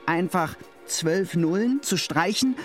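Chatty, higher-voiced male podcaster. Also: lively intonation, slow, soft background music. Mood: eager, knowing. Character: male podcast co-host, eager trivia buff, good-natured. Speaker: podcast co-host. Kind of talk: scripted banter imitating casual podcast chat.

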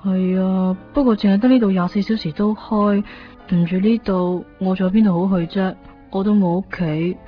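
An innocent young Hong Kong woman, played by an actress talks in a middle-pitched, plainspoken way. She is a drifter in a radio drama, casual and unfazed. The sound is dull, with faint music underneath. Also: fairly even intonation, normal speed, natural voice. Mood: unfazed, resigned. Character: easygoing, innocent homeless young woman, matter-of-fact. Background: faint background music.

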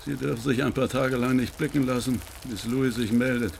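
An actor in a German radio play as an annoyed, blunt crook passing on orders in colloquial speech. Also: male voice, mid-range voice, steady tone, a little fast, creaky, darkish tone, a little hiss or hum, natural voice. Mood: irritated. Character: gruff male crook, annoyed henchman giving orders.

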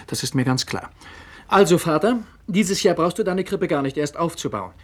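An actor playing a young, outspoken and sceptical son in a radio play, blunt and challenging. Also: male voice, on the high side, animated, normal speed. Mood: blunt, dismissive. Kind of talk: German radio play dialogue.

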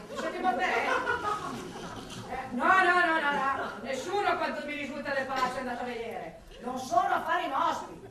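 A man's voice: very high-pitched, pushed and bright, full character voice. A woman playing a frightened, defensive, self-pitying older retired male taxi driver, flustered and pleading in radio theatre. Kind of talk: dramatic radio theatre monologue. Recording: echoey room, distant mic, faint noise.

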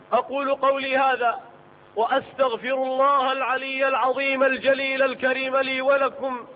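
A man delivering a solemn, declamatory Friday sermon as a preacher in a mosque. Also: very high-pitched. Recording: tinny phone-like sound in a reverberant hall.

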